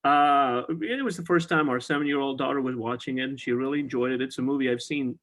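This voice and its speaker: A man's voice: medium pitch, quick pace, a bit bright. Chatty man hosting a music podcast. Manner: enthusiastic, many fillers and restarts. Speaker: podcast host. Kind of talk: casual conversation about music. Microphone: video call.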